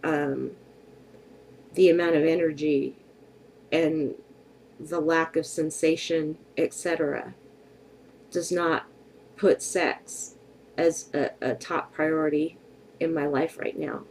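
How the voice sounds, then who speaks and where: Middle-aged woman vlogger, stuffy from a cold, unhurried and frank, faint room hiss on a webcam mic. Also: sits low, steady tone, darkish tone, poor audio. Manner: slow, candid and reflective, with frequent hesitations. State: congested from a cold. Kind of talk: personal vlog to camera. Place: bedroom at home, indoors. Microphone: webcam at desk distance.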